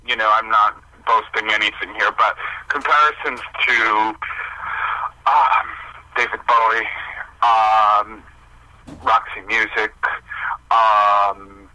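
Hesitant man with a bright, forceful voice. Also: fairly low pitch, steady tone, takes it slowly, long pauses, low-quality recording. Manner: hesitant, self-deprecating. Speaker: musician, singer interviewed as guest. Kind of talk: casual radio interview.

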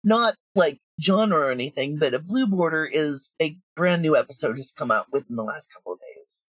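A woman's voice: sits low, lively intonation, normal speed, slightly rough, dry recording, highs cut off. A middle-aged woman speaking candidly and bluntly as an interview guest, talkative and sure of herself. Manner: blunt, self-assured, talkative. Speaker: guest, audio drama writer and producer.

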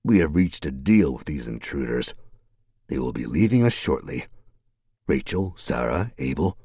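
A man voicing a sinister, manipulative male cult leader who speaks in a slow, smooth, menacing way. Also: rumbling low, big pitch swings, a little mellow, no echo, studio quality, pitched below the performer's own voice, full character voice. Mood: sinister, coaxing.

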